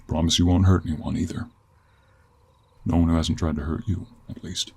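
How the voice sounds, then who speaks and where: A man playing a gruff, gentlemanly cowboy monster hunter in an intimate audio roleplay, with an American Western accent, a gravelly, husky voice and a slow, courteous drawl. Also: deep voice, flat delivery, full character voice. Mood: earnest, gentle. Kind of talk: intimate one-sided audio roleplay acting.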